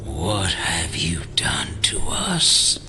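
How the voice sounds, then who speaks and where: An actor playing a proud, formal elder of a fallen secret cabal in an audio drama. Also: male voice, sits low, huge pitch swings, extremely slow, music in the background, heavily acted. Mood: alarmed. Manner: formal, grave.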